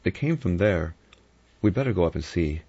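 A man voicing a cool, sardonic adventurer in an audiobook, with an unhurried, wry manner. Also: sits low, lively intonation, mellow, husky, no echo. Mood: brisk. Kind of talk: audiobook character acting. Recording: slightly dull, dry recording.

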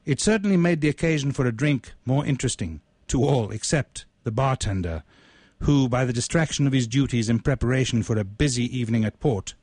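A man reading his own literary short story aloud in a deliberate, measured, ornate manner. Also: medium pitch, expressive, darkish tone, husky, no room sound.

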